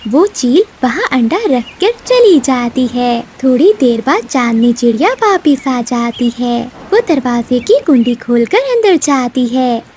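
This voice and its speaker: A woman's voice: high-pitched, sing-song, unhurried, hardly any pauses, a little mellow, a little hiss or hum. A woman narrating a scripted children's cartoon story.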